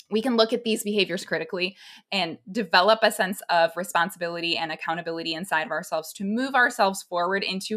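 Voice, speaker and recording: Bright-voiced woman hosting a self-help podcast. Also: medium pitch. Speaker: podcast host. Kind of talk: conversational self-help discussion.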